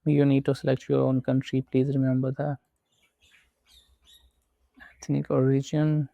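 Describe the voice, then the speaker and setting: A man from Pakistan, speaking English as a second language, guides a screen walkthrough step by step. His voice is gravelly and mellow, with a flat, even intonation. The sound is dry and close, with no room echo. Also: medium pitch. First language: not English. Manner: slow, methodical step-by-step instructions. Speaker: tutorial presenter. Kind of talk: screen-recorded walkthrough narration.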